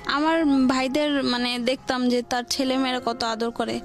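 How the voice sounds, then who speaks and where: A woman with a Bangladeshi accent and a high voice recounts her life on a radio show. She speaks colloquially and hesitantly, often quoting remembered conversations, with music clearly behind her. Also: flat delivery, moderate pace. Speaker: caller or guest telling own life story. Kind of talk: personal storytelling on a radio show.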